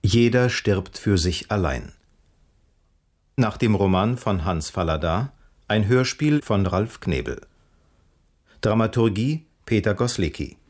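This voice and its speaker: A man with a fairly low voice makes a formal radio announcement. He reads from a script slowly and in a measured way, item by item. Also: animated, a little mellow. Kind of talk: scripted credits reading.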